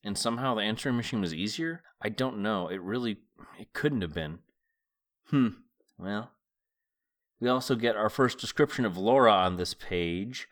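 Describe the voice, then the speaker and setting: Lower-voiced man hosting a solo book podcast. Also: expressive, normal speed, a bit bright. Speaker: podcast host. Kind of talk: book read-aloud and casual solo commentary.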